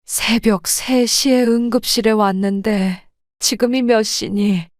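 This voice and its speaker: A woman voicing a gentle, worn-down mother in her sixties, speaking softly and with restraint in an audio drama. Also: fairly high pitch, steady tone, slow pace, dry recording, clean studio sound. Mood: weary, gentle. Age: 60s.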